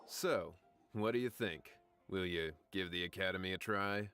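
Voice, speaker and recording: An actor playing a courteous event organizer in a video game, speaking in a polite, inviting way. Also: male voice, low voice, moderate pace, sharp tone, soft background music. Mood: welcoming. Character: courteous male event organizer welcoming newcomers.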